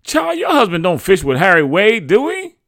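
A man's voice: high voice, wildly swooping pitch, normal speed, a bit bright, no echo, clean studio sound, voice pushed up, full character voice. A man voicing a large, motherly neighbor woman with folksy, familiar speech, in a narrated story. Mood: confused, doubting. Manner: familiar, nonstandard grammar.

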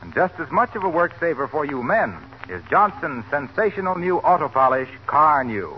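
An American man announces a sponsor's message in old-time radio style. His fairly high voice is animated and persuasive, on a muffled recording with faint noise. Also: moderate pace. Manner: earnest, persuasive sales delivery. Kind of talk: scripted 1940s radio commercial.

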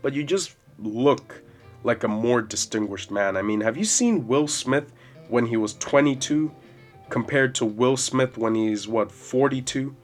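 A man in his twenties hosting a solo podcast, rambling casually and swearing freely in a loud voice with bass. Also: unhurried. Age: 20s. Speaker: podcast host. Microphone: podcast mic. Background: soft jazz music.

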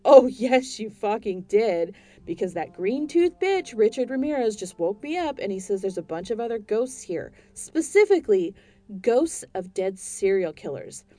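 A woman voicing a foul-mouthed young homeowner who yells and swears in a spoken story. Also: medium pitch, very animated, moderate pace, natural voice. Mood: furious. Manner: shouting, swearing.

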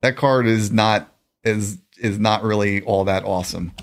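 Gravelly, low-voiced man chatting casually. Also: fairly even intonation, measured pace. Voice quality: raspy, gravelly. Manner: laid-back, rambling, repeats words. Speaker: gaming show co-host. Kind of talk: casual unscripted chat about a card game.

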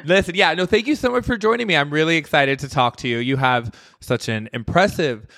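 A man's voice: on the high side, fairly quick, fairly bright tone, dry recording, clean studio sound. A man from the Midwest hosting a podcast interview, upbeat and chatty, laughing often. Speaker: podcast host. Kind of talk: casual interview chat.

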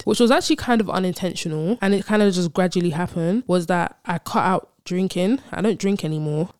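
Late-twenties woman, casual podcast host and storyteller. Lower-pitched voice, dry close sound. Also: a little mellow, clean tone, no echo. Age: 20s. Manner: chatty, storytelling, slangy. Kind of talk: casual solo podcast chat.

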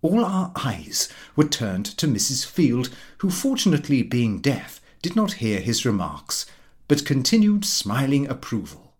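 Expressive male audiobook narrator, lively intonation. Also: mid-range voice, measured pace. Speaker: audiobook reader. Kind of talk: scripted first-person narration of comic fiction.

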